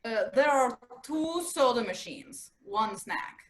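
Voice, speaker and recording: A woman playing a terse, paranoid female soldier in a comic stage play, speaking in clipped, deadpan sentences without articles. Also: on the high side, slow pace, slight background noise. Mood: guarded.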